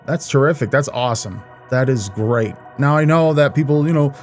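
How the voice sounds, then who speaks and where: A man with a medium-pitched voice hosts a reaction video. His delivery is informal and jokey, rambling like casual commentary, with light music in the background. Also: moderate pace, darkish tone.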